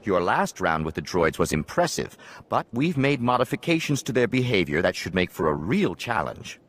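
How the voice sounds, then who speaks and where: An actor playing a brisk, confident, encouraging combat trainer in a video game. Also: male voice, sits low, sing-song, faint music behind. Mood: brisk, impressed.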